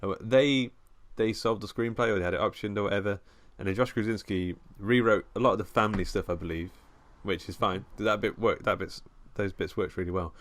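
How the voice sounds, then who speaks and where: A man's voice: on the low side, brisk, hardly any pauses, fairly bright tone. A man hosting a horror film podcast, chatting casually with a co-host with plenty of fillers and restarts.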